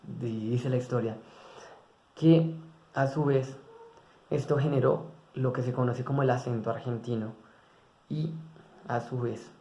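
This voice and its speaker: A man's voice: medium pitch, fairly even intonation, measured pace, long pauses, warm tone. A man giving a spoken class presentation, hesitating with fillers and restarting his words. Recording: echoey room.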